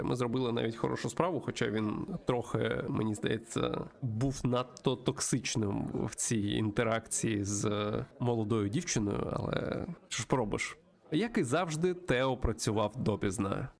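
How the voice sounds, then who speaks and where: A man reading a visual novel aloud as a let's play host, in an expressive storytelling manner. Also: mid-range voice, gravelly. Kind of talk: narrated visual novel playthrough reading with asides.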